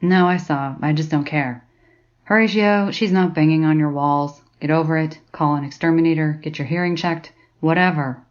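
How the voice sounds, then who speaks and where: A woman's voice: deep voice, normal speed, close to an everyday voice. A woman playing an exasperated, suspicious librarian in a fiction podcast, sardonic, sweary and grumbling. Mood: dry, dismissive. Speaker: actor in an audio drama. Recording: dull lo-fi sound with clear noise.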